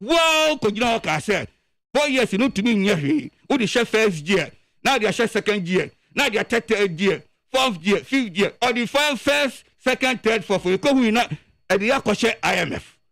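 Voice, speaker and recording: A man with a Ghanaian accent giving animated political commentary on the radio, emphatic and full of repeated words. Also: sits high, normal speed, no echo.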